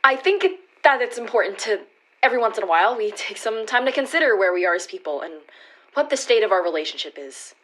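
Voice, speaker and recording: A woman playing an anxious, earnest girlfriend in a radio play, reasoning haltingly as she pleads to save a failing relationship. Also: medium pitch, animated, a bit bright, close to an everyday voice. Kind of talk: radio play acting, naturalistic dialogue.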